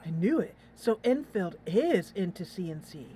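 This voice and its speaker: A woman's voice: fairly low pitch, sing-song, normal speed, dark tone, a little hiss or hum. A woman voicing a conspiracy-minded, stubborn teenage schoolboy in a visual novel game, emphatic and melodramatic. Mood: insistent.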